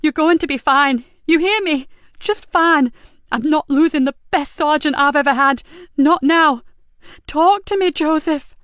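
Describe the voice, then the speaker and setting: A woman's voice: high-pitched, fairly even intonation, takes it slowly, long pauses, a little mellow, dry recording, clean studio sound, voice pushed up. A woman voicing a protective female police inspector, urgent and raised. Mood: desperate.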